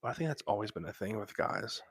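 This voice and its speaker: A young man whispering softly into a close microphone while hosting a laid-back late-night livestream from bed at home. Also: fairly low pitch, animated, fast talker, husky. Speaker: livestream host.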